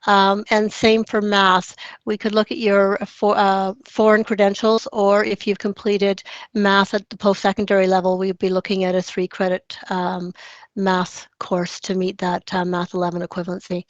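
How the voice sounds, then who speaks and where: Woman explaining admission stuff on an online call, pretty flat voice, muffled audio. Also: mid-range voice, normal speed, no room sound. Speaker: admissions advisor. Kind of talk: explaining requirements in an online meeting. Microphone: online meeting call.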